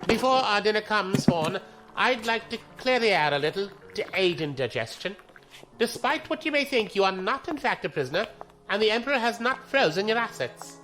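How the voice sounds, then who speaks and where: A man's voice: high-pitched, sing-song, normal speed, pushed and bright, faint music behind, heavily acted, poor audio. Man acting a genteel imperial commodore. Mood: politely commanding. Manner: genteel, diplomatic, formal. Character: courteous, smooth-talking male imperial navy commodore. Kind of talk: science fiction audio drama acting.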